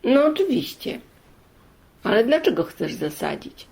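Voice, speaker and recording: A man's voice: very high voice, very animated, a little slow, vocal fry, darkish tone, slightly rough, pitched above the performer's own voice, full character voice. A woman voicing a cheerful, hospitable poor father of a village family in a storybook tale, speaking in an open, eager way. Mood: eager, welcoming.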